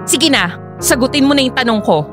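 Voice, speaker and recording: An actress playing a wronged breadwinner and older sister in a Filipino radio drama, confrontational and emphatic. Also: female voice, high-pitched, expressive, brisk, over music. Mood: suspicious, demanding.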